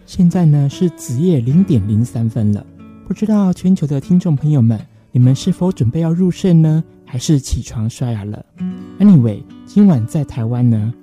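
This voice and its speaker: A man's voice: medium pitch, animated, normal speed. A man with a Taiwanese Mandarin accent, hosting a late-night radio show in a scripted presenting style. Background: soft guitar music.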